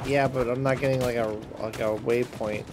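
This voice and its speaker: A man's voice: mid-range voice, little pitch movement, moderate pace, background noise. A man streaming a co-op video game and chatting casually with friends. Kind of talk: casual co-op gaming chat. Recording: loud game music behind voice. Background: game music, horse sounds.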